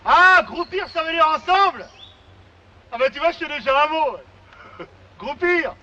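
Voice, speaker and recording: A shrill, laughing soldier in a film clip. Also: male voice, expressive, unhurried, pushed and bright, lo-fi sound, heavily acted. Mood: amused. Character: a singing, laughing French soldier, simple and cheerful. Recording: film clip heard from far off, noisy.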